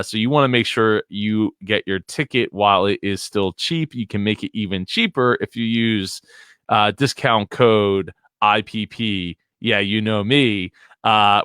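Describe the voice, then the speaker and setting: A man's voice: fairly low pitch, bright, forceful tone, clear, resonant tone, no echo, studio quality. A man hosting a live podcast show, doing promos and introducing a guest in a rambling, playful way with many fillers. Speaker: podcast host. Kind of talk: live show host chat.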